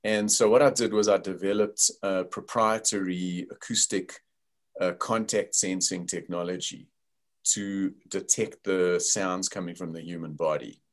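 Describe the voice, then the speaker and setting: Deep-voiced South African man. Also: fairly even intonation, a little slow. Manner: thoughtful, explanatory, with many fillers. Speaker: company founder and CEO. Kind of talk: video call interview. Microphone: video call.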